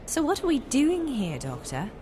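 A woman's voice: high voice, huge pitch swings, moderate pace, dark tone, slight background noise. A woman playing a sceptical, down-to-earth adventurer's companion in a radio adventure drama, plain-spoken and cautious. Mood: wry, doubtful. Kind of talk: old-time radio adventure acting.

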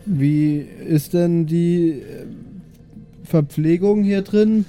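A man's voice: fairly high pitch, drawn-out words, warm tone, faint music behind. A man playing a chatty, cheekily polite prisoner in an improvised tabletop game, hesitant and meandering but insistent. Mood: innocently cheeky. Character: chatty, cheekily polite male prisoner pestering his guards.

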